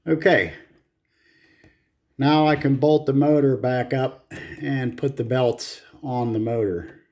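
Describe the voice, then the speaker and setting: A man giving casual hands-on repair commentary, muttering to himself in short, halting phrases with some casual swearing. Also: medium pitch, darkish tone. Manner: casually profane. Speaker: hobbyist repairer filming own repair. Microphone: handheld camera.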